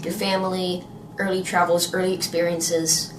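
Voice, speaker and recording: A young radio co-host asking eager, scripted interview questions in a studio. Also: female voice, on the low side, flat delivery, moderate pace, a little hiss or hum, rough recording.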